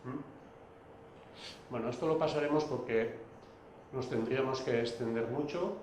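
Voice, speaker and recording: A man whose first language is Basque, giving a recorded video lecture, pausing often with fillers and restarts. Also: mid-range voice, a little mellow, echoey room, a little hiss or hum. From Basque Country. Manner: hesitant, many fillers and restarts.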